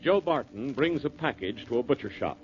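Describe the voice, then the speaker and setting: A man's voice: mid-range voice, lively intonation, brisk, natural voice. A man narrating as a dramatic announcer for a 1940s radio mystery show. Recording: muffled 1940s radio broadcast. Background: prominent music.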